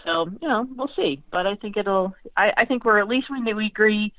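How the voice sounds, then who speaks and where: A woman's voice: medium pitch, animated, a little fast, dry recording. A tinny, narrow phone connection carries a woman's voice, close and dry. She is a sports writer guesting on a talk show, chatting casually and working out her opinions aloud, often qualifying them as she goes. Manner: chatty, hedging, thinking aloud. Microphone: phone call. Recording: tinny, band-limited phone line.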